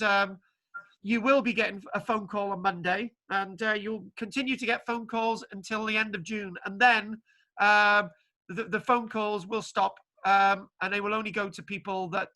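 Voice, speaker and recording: A man lecturer from Wales talking casually to his online class, halting with many restarts and fillers. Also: high-pitched, sharp tone, poor audio. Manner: halting, full of restarts and ums. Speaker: archaeology lecturer. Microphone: video call. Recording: spotty video call connection.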